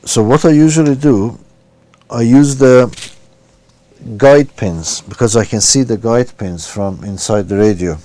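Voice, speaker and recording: A man's voice: medium pitch, unhurried, dark tone. A man narrating an informal hands-on repair tutorial, giving careful step-by-step instructions and sometimes muttering to himself. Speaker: repair demonstrator.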